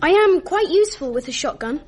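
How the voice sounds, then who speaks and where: High, lively English schoolboy. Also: female voice, fairly quick. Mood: eager. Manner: polite, precocious. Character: eager, well-bred, inquisitive English schoolboy. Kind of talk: period radio drama dialogue. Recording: noisy archive radio drama recording.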